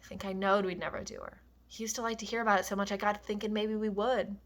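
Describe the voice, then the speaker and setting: A woman's voice: mid-range voice, a little fast, pushed and bright. A woman reading the lines of a worried, protective ranch worker, resigned and urgent in turn.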